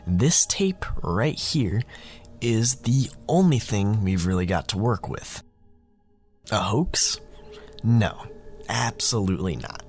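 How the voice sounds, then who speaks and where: Casting: a man with a lowish voice, slow and wry, telling a spooky story over soft music. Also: animated. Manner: casual, wry, conversational. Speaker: narrator and podcast host. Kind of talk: scripted horror storytelling.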